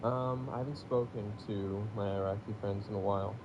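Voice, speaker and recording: A man's voice: on the low side, fairly even intonation, a little fast. Laid-back joking man, muffled noisy audio. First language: Arabic. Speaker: podcast co-host. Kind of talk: casual chat. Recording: muffled with loud background noise.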